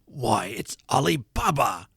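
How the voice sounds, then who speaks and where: A man voicing an excitable old man from a classic storybook, full of childlike feeling and exclamation. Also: mid-range voice, normal speed, no echo, clean studio sound, close to an everyday voice. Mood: ecstatic wonder. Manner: exclamatory, emotional, between laughing and crying.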